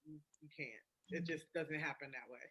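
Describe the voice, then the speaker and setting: A woman's voice: deep voice, steady tone, a little fast, a bit bright, breathy edge. A woman chatting casually and candidly, emphatic and swearing freely, often repeating phrases for emphasis. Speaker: podcast co-host. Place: phone call.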